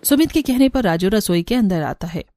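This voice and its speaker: A woman's voice: medium pitch, expressive, fairly quick, mellow, a little hiss or hum. A woman narrator reading a scripted story for a cartoon.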